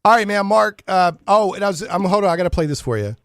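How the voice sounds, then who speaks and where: A man hosting a live radio show, chatting informally and haltingly, often repeating words as he goes. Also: high-pitched, lively intonation, clean tone, no echo, studio quality. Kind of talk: casual live radio chat.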